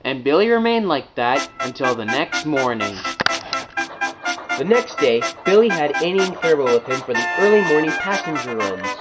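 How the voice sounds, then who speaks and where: A man's voice: on the high side, very animated, moderate pace, over loud music, poor audio. A man reading scripted children's story narration as the narrator.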